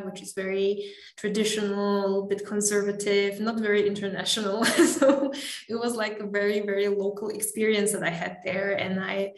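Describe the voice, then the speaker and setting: A woman's voice: mid-range voice, roomy sound. A Slovak woman in her thirties, a guest on a video call interview, speaking hesitantly with many fillers and restarts. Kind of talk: casual interview chat. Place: home room, indoors. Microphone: earphone headset on video call.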